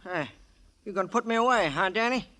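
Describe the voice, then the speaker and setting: An actor playing an eager, swaggering small-time hoodlum in an old radio crime drama, wheedling and boasting in street slang. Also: male voice, high voice, expressive, brisk, pushed and bright. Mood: needling, wheedling.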